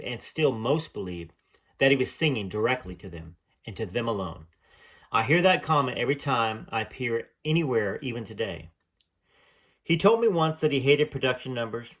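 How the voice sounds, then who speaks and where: Guy reading a book out loud, earnest, stops to chat and stumble a bit, mid pitch. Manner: earnest reading broken by chatty, hesitant asides. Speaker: channel host reading from a memoir. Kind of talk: reading a book aloud with casual asides.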